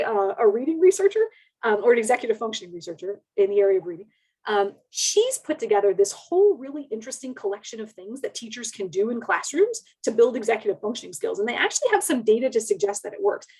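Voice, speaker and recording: A woman's voice: medium pitch, husky, rough recording. A woman speaking as an education researcher on a webinar, explaining things informally in casual wording.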